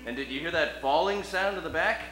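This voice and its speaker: A man plays an earnest radio-play detective, mock-heroic and suspicious. His high voice rises and falls freely in a roomy space, with music clearly behind it. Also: expressive, fairly quick, pushed and bright. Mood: confiding. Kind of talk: comedic old-time radio play acting.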